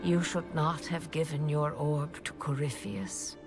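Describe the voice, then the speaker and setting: Game role: ancient mythic woman, deep and unhurried, grave, sorrowful, knowing; heavy score behind. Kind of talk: video game cutscene drama. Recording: loud music under the voice.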